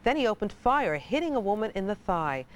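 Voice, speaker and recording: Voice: medium pitch, big pitch swings, brisk, clean tone. An American news anchor delivers scripted television copy. The voice sits in a middle register and follows a broadcast news style.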